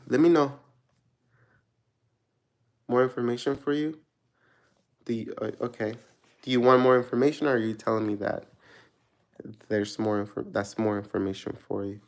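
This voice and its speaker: Gravelly-voiced man doing a casual tarot reading. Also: mid-range voice. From Mexico. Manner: rambling, searching for words, many restarts; relaxed, laughs at himself. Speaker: tarot reader answering viewer questions.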